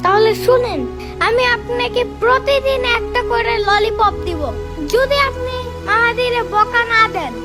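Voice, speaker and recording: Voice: extremely high pitch, unhurried. A performer voicing a cheeky little cartoon kindergarten boy who talks back, in a childlike way with a Bangladeshi accent. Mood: eager, earnest. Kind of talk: comic cartoon dialogue. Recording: loud music behind the voice.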